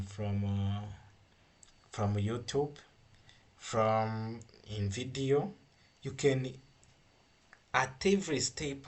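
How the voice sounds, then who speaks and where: A man who is a non-native English speaker, giving an informal software tutorial in a halting, repetitive, step-by-step way. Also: on the low side. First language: not English. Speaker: coding tutorial instructor.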